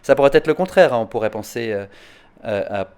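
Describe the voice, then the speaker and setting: Guy explaining stuff at an online conference, stops and restarts a lot, middle pitch. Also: lively intonation, brisk, a little mellow, clear, resonant tone. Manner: hesitant, repeats words, frequent "hein". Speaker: psychoanalyst answering conference questions.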